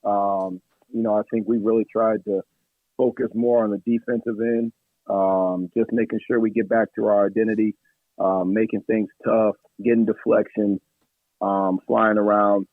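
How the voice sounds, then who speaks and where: Hoarse, gravelly man on a phone line. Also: on the low side, level tone, dry recording. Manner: measured, many "um" fillers. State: hoarse from yelling at practice. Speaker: assistant basketball coach. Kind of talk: radio interview answers.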